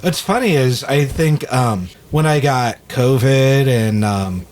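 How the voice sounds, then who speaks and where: A man with a slightly raspy voice, chatting casually as a co-host of a music discussion. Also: medium pitch, takes it slowly, darkish tone. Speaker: podcast co-host. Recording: clear background noise.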